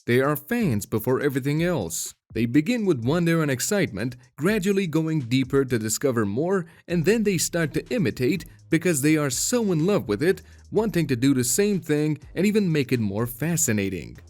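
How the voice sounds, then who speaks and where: Guy doing a lively scripted voiceover, bit high, soft music behind. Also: moderate pace, few pauses. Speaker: video narrator. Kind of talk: scripted voice-over narration.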